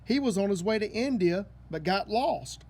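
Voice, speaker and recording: An earnest little boy from a storybook, read by a man, speaks plainly in a high voice. He is thoughtful and sincere. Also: lively intonation, normal speed, creaky, a little mellow, heavily acted. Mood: informative.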